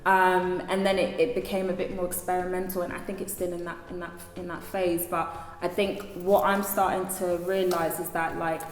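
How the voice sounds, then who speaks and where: A singer being interviewed, a woman with a deep speaking voice who talks thoughtfully with many fillers and restarts. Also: flat delivery, moderate pace, hardly any pauses, roomy sound, long reverb tail. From London. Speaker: singer, guest. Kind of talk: casual interview talk.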